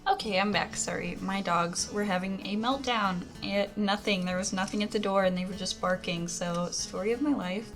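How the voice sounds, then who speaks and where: A young woman whose voice is worn hoarse talks in a loose, chatty way, stringing thoughts together with plenty of fillers. Her pitch sits in the middle of the usual range for a woman. Music plays clearly behind her voice. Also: moderate pace, few pauses. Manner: chatty, rambling, lots of "like" and "um". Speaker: Sims speed-build YouTuber. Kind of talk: casual voice-over commentary. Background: background music.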